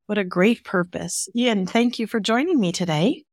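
A woman's voice: medium pitch, expressive, moderate pace, no room sound. Friendly woman hosting a podcast, dry close sound. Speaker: podcast host. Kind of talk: podcast interview.

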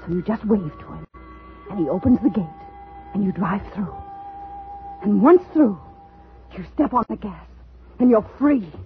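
An actress playing an unstable woman telling a story in an intense, halting, dramatic 1950s radio style. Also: medium pitch, lively intonation, phone-quality sound. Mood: hushed, intent. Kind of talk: 1950s radio suspense acting. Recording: thin vintage radio recording with music.

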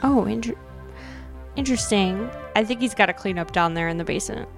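A woman's voice: mid-range voice, brisk, gravelly. Chatty woman podcaster over music. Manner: chatty, uses like and you know often. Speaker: podcast co-host. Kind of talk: casual chat with dramatic readings of old notes.

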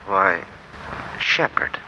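An actor playing a gentle, philosophical benefactor of the down-and-out, formal and courteous, in a 1940s radio drama. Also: male voice, very slow, exaggerated voice. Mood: mild, insistent. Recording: dull, noisy vintage 1940s radio broadcast.

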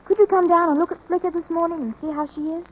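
A woman's voice: very high-pitched, steady tone, quick pace, rough recording. A performer voicing an eager, anxious young American ranch boy, earnest and hesitant, in 1940s radio drama style. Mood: eager, pleading. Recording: vintage radio drama recording.

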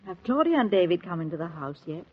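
An actress in a 1940s radio drama playing a gentle, wistful motherly woman of the house, with a soft, breathy voice on an old transcription. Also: lowish voice, big pitch swings, quick pace, narrow, tinny audio.

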